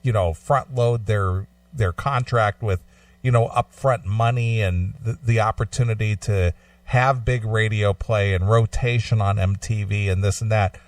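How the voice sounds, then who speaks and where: A man hosting a conversational radio interview, speaking in measured, wordy phrases with hesitations. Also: on the low side, fairly even intonation, no room sound, far from the mic. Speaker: radio show host.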